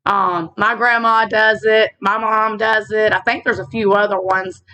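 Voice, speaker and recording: A woman with a slightly raspy voice, hosting a casual faith vlog, talking earnestly with fillers and slow reflective stretches into a laptop mic. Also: mid-range voice, fairly even intonation, sharp tone. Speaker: channel host. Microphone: laptop built-in mic.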